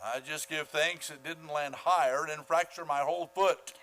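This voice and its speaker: A fussy school principal in an old-time radio comedy, played by a man. He is pompous and falsely gracious, talking in formal, halting phrases in a somewhat high voice. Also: fairly even intonation, a bit bright, natural voice. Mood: unctuous, martyred. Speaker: actor in a community radio play.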